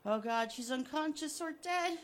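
A woman's voice: high-pitched, animated, unhurried, voice pushed up. A woman voicing a terrified, trapped man who pleads and cries out desperately. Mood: panicked.

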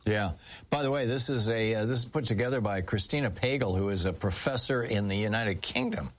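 Man, talk radio host, lowish voice, affable, halting restarts.

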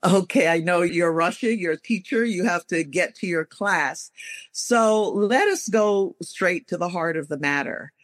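A man's voice: sits high, a little slow, fairly bright tone, no echo. A man hosting a current-affairs talk show, commenting and introducing guests earnestly in a conversational way. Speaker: radio show host.

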